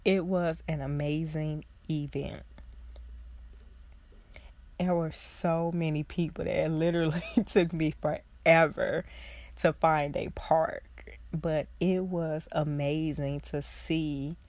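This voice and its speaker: Congested woman host, slow and low. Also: darkish tone, no room sound. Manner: earnest, heartfelt, slow and reflective. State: congested with a cold. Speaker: podcast host, social worker. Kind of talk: casual solo podcast talk.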